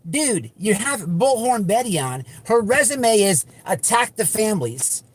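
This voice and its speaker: A man hosting an unscripted livestream, talking bluntly and repetitively to his viewers, with halting false starts. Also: high voice, big pitch swings, breathy edge. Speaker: livestream host.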